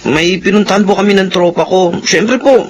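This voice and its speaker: A man's voice: high-pitched, normal speed, slight background noise, close to an everyday voice. Man playing a sheepish, stammering son. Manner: hesitant, stammering, apologetic. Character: teenage son, sheepish and careless.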